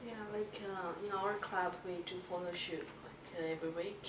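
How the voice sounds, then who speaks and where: A woman from China, a student club president, speaks in simple phrases with some fillers. Her voice is on the low side, and she is recorded from a distance in an echoey room, with a loud hiss behind her. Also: steady tone, normal speed, muffled recording. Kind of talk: casual group discussion.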